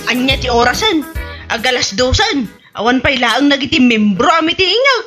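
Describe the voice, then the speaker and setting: A woman's voice: high-pitched, sing-song, moderate pace, music in the background. A woman with an Ilocano Filipino accent playing a vain, bossy president of a village women's club in a radio comedy drama, haughty and imperious. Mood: impatient, bossy.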